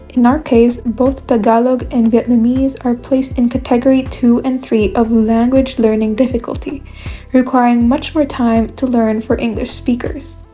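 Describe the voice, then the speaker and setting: A young woman reading a scripted video essay as its host, in a measured, even explanatory way. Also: on the high side. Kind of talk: scripted narration.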